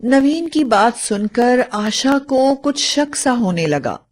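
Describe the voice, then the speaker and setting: Slow-paced woman narrating a story. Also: a little high, lively intonation. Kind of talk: scripted bedtime story narration.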